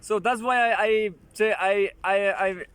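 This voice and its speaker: Slow, high-voiced man reading with restarts. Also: steady tone, a bit bright, clear, resonant tone. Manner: halting, with frequent restarts and joking asides. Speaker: channel host reading articles aloud. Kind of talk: casual commentary while reading an article.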